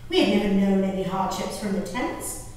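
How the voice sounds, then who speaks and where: A woman performing a genteel, impassioned colonial-era lady diarist on stage, declaiming her journal with deliberate dramatic pauses. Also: medium pitch, animated, a little mellow, close to an everyday voice. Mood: indignant. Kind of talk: theatrical dramatic reading of historical diaries. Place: live stage performance in a reverberant hall. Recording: very echoey hall from far off, noisy.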